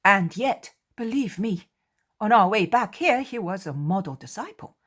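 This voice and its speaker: A man's voice: high voice, animated, a little slow, a bit bright, pitched above the performer's own voice, exaggerated voice. A woman voicing Thomas, an insistent adult male disciple who speaks in a raised voice, defending his word.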